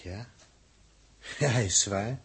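A man's voice: on the low side, takes it slowly, vocal fry. A man acting a sardonic, complaining space test crewman in a 1960s Dutch radio drama. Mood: pondering. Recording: noisy vintage 1960s radio recording.

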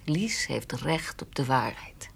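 An actress playing a calm, frank, independent woman in a radio drama, plain-spoken and gently teasing. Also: a bass voice, takes it slowly, creaky, slightly rough, whispered, full character voice. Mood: gentle, reassuring.

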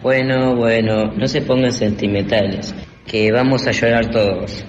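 A man's voice: mid-range voice, fairly even intonation, measured pace, lo-fi sound, close to an everyday voice. A teenage male student with a Rioplatense accent playing a cocky, joking young bandmate in an amateur school radio play. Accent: Rioplatense Argentine. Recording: reverberant hall with clear ambient noise.

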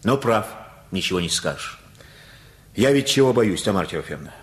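A low-pitched older man, played by an actor in a radio drama. He is a simple-hearted working-class father, anxious and folksy, feeling his way through each thought with homely, hesitant phrases. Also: lively intonation, fairly quick, natural voice. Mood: troubled, confiding. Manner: folksy, colloquial, hesitant, with many fillers. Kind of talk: radio play acting.

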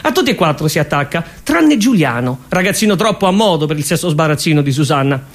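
A man, a comic stage performer delivering scripted storytelling in a deadpan, emphatic and repetitive way. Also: fairly high pitch, quick pace.

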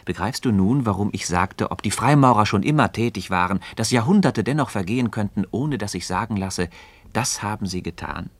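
An actor playing a learned, earnest and gently ironic Freemason friend who teaches in a measured, rhetorical way in a radio drama. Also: male voice, fairly low pitch, expressive, breathy edge, no room sound. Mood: earnest, explaining. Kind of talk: scripted philosophical dialogue in German radio drama.

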